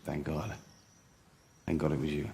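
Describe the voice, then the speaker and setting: An actor playing a hot-blooded, idealistic composer in a period drama, blunt and impassioned, sometimes sardonic or tender. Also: male voice, very deep voice, flat delivery, moderate pace, gravelly, dark tone, slight background noise. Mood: tender, subdued. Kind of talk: period television drama acting.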